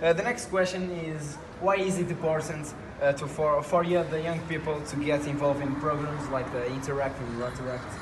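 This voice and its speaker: A young man from Portugal asking interview questions in hesitant, halting phrases. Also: a little high, steady tone. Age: young adult. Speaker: interviewer, youth club representative. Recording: clear background noise.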